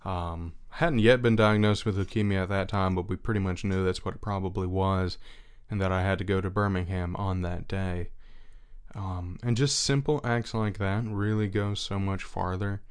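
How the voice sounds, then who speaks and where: A young man speaks in a lowish, resonant voice, earnest and unhurried. He reflects aloud in a personal monologue, pausing to find his words. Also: smooth. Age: young adult.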